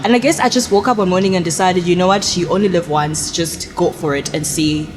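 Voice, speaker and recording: A young woman from Congo talks as a guest on an online talk show. Her voice sits a little low, and her manner is candid and chatty, with easy laughter running through her answers. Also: a little fast, low-quality recording. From Democratic Republic of Congo. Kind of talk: casual interview chat.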